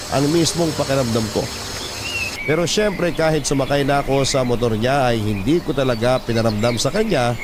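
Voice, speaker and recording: Man narrating a love story over music. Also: medium pitch. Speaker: narrator reading a letter sender's story. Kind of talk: scripted first-person story narration. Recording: clear music under the narration.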